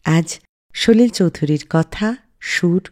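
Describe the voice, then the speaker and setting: A woman presenting a scripted radio music show in a measured storytelling manner with dramatic pauses. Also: fairly low pitch, warm tone. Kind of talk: scripted radio narration introducing songs.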